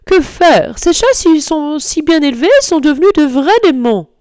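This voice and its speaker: A woman doing a shrill old lady who fusses over her cats. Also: lively intonation, moderate pace, darkish tone, dry recording, clean studio sound. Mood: fretting. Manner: shrieking, indignant. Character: shrill elderly lady, fussy cat owner.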